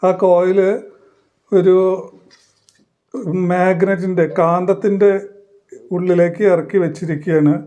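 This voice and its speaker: A man explaining a hands-on demonstration in halting, short phrases, like a tutorial presenter. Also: high voice, little pitch movement, dark tone.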